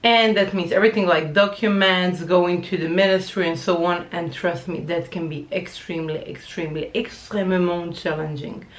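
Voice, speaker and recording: A woman's voice: fairly low pitch, fairly even intonation, moderate pace, few pauses, reverberant. A woman in her thirties with a Croatian accent, a language teacher chatting casually to camera and searching for words now and then. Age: 30s.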